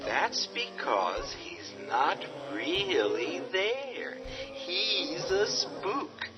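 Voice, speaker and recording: A man's voice: sits high, huge pitch swings, drawn-out words, exaggerated voice. An actor playing a sardonic young man who teases his sister with spooky whispers, in a radio drama. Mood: spooky teasing. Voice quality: sneering, teasing spooky whispers. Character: mocking young man, brother, teasing and sardonic. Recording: dull radio drama with music.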